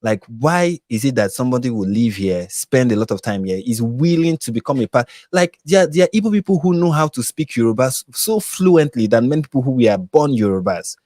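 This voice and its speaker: Nigerian male host, animated, stumbles and restarts, dry close sound. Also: a little fast, few pauses, no room sound. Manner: animated, many restarts, pitch climbs when stressing a point. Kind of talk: live stream discussion.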